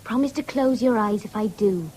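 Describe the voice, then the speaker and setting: An actress playing an anxious, gently firm woman nursing an invalid in a 1970s radio drama, with an English accent. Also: mid-range voice, animated, normal speed, breathy edge. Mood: coaxing, worried. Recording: hissy old radio broadcast recording.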